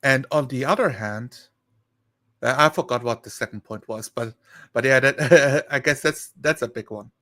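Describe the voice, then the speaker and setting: A man chatting casually on a live stream, rambling and thinking aloud in a halting way. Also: medium pitch, pushed and bright.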